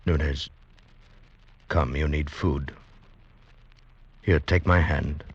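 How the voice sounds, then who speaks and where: An actor playing a concerned, kindly friend with a grave, solicitous way of talking in a 1940s radio drama. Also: male voice, extremely low pitch, fairly even intonation, slow pace, slightly rough, exaggerated voice. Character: concerned, kindly male friend of a weary traveller. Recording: muffled vintage radio recording.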